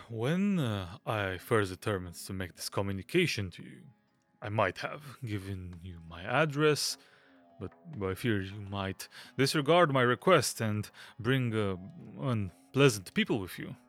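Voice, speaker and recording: An actor playing a nervous, fussy gentleman aesthete in an audio drama, hesitant and stammering in his delivery. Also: fairly low pitch, lively intonation, measured pace, soft background music. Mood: nervous, apologetic. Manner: hesitant, stammering, nervous giggles and throat-clearing.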